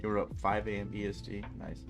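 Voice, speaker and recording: A man's voice: lowish voice, fairly even intonation, quick pace, gravelly, over music. A man with a stuffy nose chatting casually and rambling jokily with his chat while streaming a game. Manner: rambling, jokey, talks to chat.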